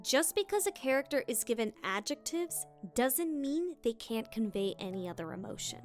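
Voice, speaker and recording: A woman's voice: high-pitched, sing-song, normal speed, soft background music. A woman hosting a scripted, playful tutorial as a voice actor, with theatrical emphasis. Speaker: voice actor and video host.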